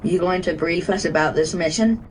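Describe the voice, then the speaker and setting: A man's voice: on the high side, moderate pace, a little hiss or hum, close to an everyday voice, rough recording. A man playing an eager, proud young soldier in a fan dub, with an earnest, keen manner.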